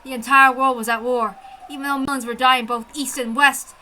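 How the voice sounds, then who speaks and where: A woman reading a first-person story aloud with an expressive, intense dramatic delivery. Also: high-pitched, a little fast, slight background noise. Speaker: amateur story reader.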